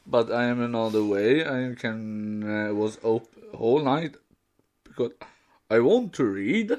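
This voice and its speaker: A man whose first language is Swedish chats as a podcast co-host. His delivery is slow and halting, with unidiomatic non-native English, in a mid-range voice. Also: medium pitch, expressive, darkish tone. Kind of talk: casual chat.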